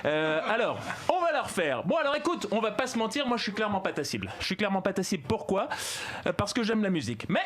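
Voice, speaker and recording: A man's voice: high-pitched, very animated, quick pace, few pauses, a bit bright. A man delivering a comic column on a talk show, joking and mock-solemn, often giggling.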